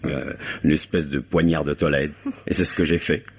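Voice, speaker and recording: A man's voice: rumbling low, steady tone, normal speed, gravelly, husky, heavily acted. An actor playing a jovial, teasing male lead actor in an old French radio drama, genial and theatrical. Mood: storytelling. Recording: dull old radio recording.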